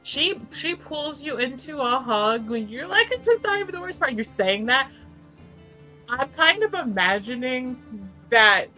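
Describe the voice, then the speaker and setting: A woman narrating a character in a tabletop roleplaying game, casually improvising in a halting, emphatic, conversational way. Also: fairly high pitch, bright, forceful tone, low-quality recording.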